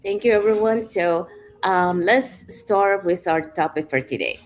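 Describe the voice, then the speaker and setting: A woman's voice: on the low side, a little slow, rough recording. A middle-aged woman from Panama hosting a live online panel talk, chatty, with many fillers like "you know". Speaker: host.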